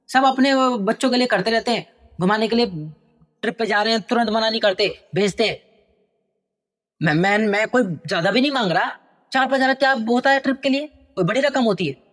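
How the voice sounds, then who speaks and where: A man puts on an angry, entitled young son who snaps at his parents in loud, indignant bursts. The voice sits very high and climbs higher when he rants, quick and heated. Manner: indignant, raised voice, rapid outbursts.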